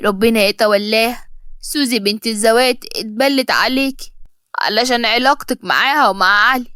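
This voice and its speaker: A woman voicing a kindly older nanny who speaks in a gentle, lamenting way, soothing and devoted. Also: on the high side, a little fast, clean tone, no echo, clean studio sound. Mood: regretful.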